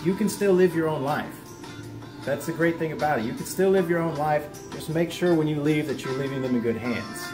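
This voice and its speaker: A man's voice: on the high side, fairly quick. Earnest American man teaching, music underneath. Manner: earnest, plain-spoken, encouraging. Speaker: video host teaching self-help lessons. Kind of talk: informal instructional vlog.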